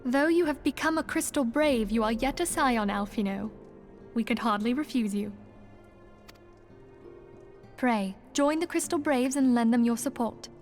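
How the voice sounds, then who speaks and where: Lady playing a composed fantasy game leader, highish voice, formal but gentle orders, music underneath. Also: unhurried, long pauses, natural voice. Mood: composed command. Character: gracious, composed female leader of fantasy scholars. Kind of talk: formal video game voice acting.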